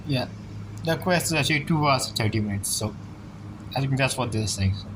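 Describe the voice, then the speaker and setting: A man's voice: mid-range voice, lively intonation, a little fast, poor audio. A man giving casual, rambling gameplay commentary as he streams, muttering his reactions to the game. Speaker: gaming streamer. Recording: game music clearly behind the voice.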